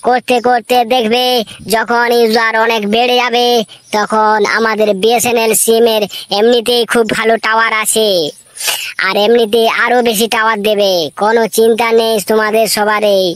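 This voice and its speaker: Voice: mid-range voice, flat delivery, moderate pace, a bit bright, no room sound, natural voice. An actor voicing an earnest, rousing cartoon schoolboy from a poor cowherd home, speaking in a repetitive, declamatory way. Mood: rallying. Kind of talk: comic cartoon speech with chanted slogans.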